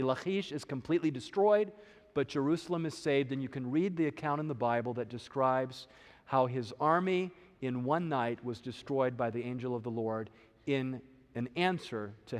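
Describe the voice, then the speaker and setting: A man presenting a lecture in a steady, explanatory way. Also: medium pitch, in a large hall. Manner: explanatory, steady, occasional uh fillers. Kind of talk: lecture on archaeology.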